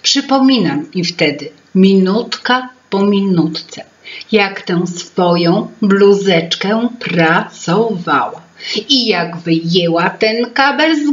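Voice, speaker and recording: A woman's voice: medium pitch, highs cut off, slight background noise. A woman voicing a proud, bustling female fairy-tale sprite who keeps people's memories. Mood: fretful, busy. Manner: self-important, bustling, musing.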